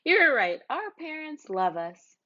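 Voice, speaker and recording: A woman's voice: sits high, big pitch swings, takes it slowly, bright, forceful tone, muffled recording, voice pushed up, heavily acted. A woman voicing a worried, whiny, innocent little boy in a storybook. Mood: eager, innocent.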